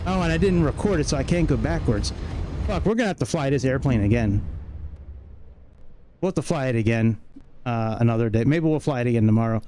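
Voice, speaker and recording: A man's voice: medium pitch, animated, brisk, pauses often, mellow, a little hiss or hum, low-quality recording. A man giving casual, grumbling, self-deprecating livestream commentary on a flight sim game, swearing freely.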